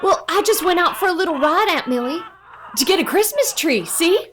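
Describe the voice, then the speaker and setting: A woman's voice: very high voice, animated, a little fast, fairly bright tone, a little hiss or hum. A woman playing an earnest, polite young country boy in a western radio play. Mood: eager.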